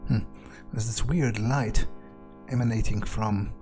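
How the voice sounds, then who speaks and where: Man, in-character gaming commentary, very slow pace, casual muttering, foul-mouthed, prominent background music. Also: lowish voice, fairly even intonation, warm tone. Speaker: gaming streamer, let's player. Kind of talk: in-character gameplay commentary, thinking aloud. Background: video game music.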